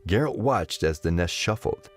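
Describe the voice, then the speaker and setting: A man's voice: sits low, expressive, moderate pace. A man narrating a scripted story as the narrator of an audio drama.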